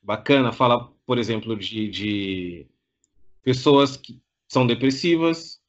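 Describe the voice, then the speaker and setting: A man's voice: medium pitch, slow, highs cut off. A man with a Brazilian Portuguese accent hosting a casual interview show in an effusive, informal way. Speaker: show host.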